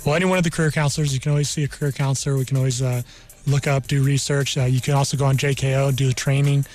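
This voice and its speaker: A man's voice: medium pitch, level tone, rapid-fire, a little mellow. A man from New Mexico speaking as an army career counselor, explaining things earnestly in an informal radio interview. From New Mexico, United States. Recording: music behind the voice. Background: music.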